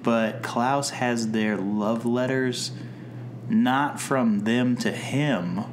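A man co-hosting a casual podcast, reading plot notes aloud and making dry, deadpan jokes. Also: mid-range voice, drawn-out words, slight background noise. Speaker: podcast co-host. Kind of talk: casual chat recapping a TV episode.

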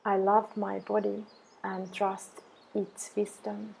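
Female meditation guide. Very slow, even delivery with a creaky edge, soothing and measured. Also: medium pitch, a little hiss or hum, poor audio. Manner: soothing, measured, deliberate phrases with long pauses.

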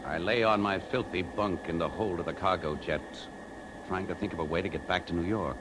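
An American man acting a sardonic, indignant kidnapped adman in a 1950s radio drama. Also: very deep voice. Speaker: lead actor and first-person narrator. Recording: noisy vintage 1950s radio recording.

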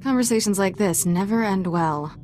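An actress playing a plucky young Jedi apprentice in a video game cutscene, wry and irreverent. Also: female voice, mid-range voice, normal speed, darkish tone, slight background noise, close to an everyday voice.

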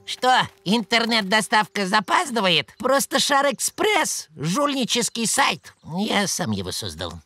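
A man's voice: sits high, sing-song, unhurried, few pauses, sharp tone, soft background music, full character voice. A man voicing a clever, strict mouse who teaches in a precise, instructing way in an educational cartoon. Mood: smug. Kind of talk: animated educational cartoon dialogue.

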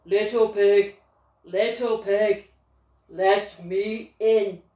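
A woman voicing a menacing big bad wolf from a storybook, chanting loud, drawn-out threats. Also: medium pitch, fairly even intonation. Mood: menacing demand. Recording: roomy echo, far from the mic.

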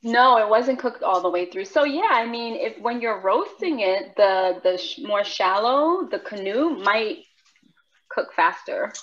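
A woman's voice: fairly high pitch, expressive, unhurried, a crowd in the background. A woman chatting casually on a video call while showing a dish she cooked. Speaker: cook showing her dish. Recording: muffled video call audio.

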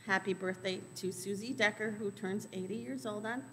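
A middle-aged woman reading the weekly announcements at a church lectern in a measured way. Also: mid-range voice, fairly even intonation. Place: large church sanctuary, indoors. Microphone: lectern microphone. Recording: long church reverb, faint background noise.

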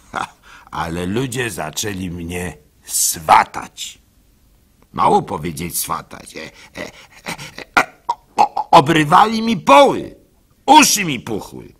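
Husky, animated village fool. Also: male voice, medium pitch, exaggerated voice. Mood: exasperated. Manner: theatrical, halting, with stammered repeats, sighs and chuckles. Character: gullible, simple-hearted village fool recounting his life. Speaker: actor narrating a radio play. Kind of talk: dramatic first-person monologue in a radio play.

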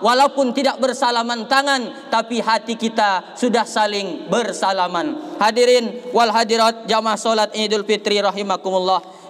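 A young man preaching an Eid sermon and prayer from a pulpit outdoors, impassioned and rising to shouts on key lines. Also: high voice, long reverb tail.

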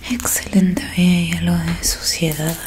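A young woman whispering softly and breathily in a soothing ASMR roleplay, close to the microphone, repeating words. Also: on the low side, flat delivery, a little slow, background noise. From Argentina. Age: young adult. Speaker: ASMR creator. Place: small dim room indoors.

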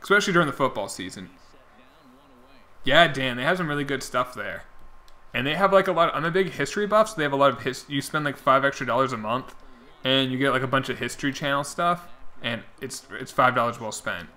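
Young man, game streamer, bright voice, rambling casual chat, voice wearing out. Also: mid-range voice, lively intonation, brisk. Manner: rambling, talks to chat viewers by name. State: losing his voice. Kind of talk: casual chat while playing.

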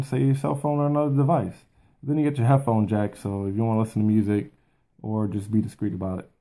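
A man's voice: mid-range voice, a little mellow. A man in his thirties giving an informal, plain-spoken product review to camera, as a video host at home. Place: small furnished room at home, indoors.

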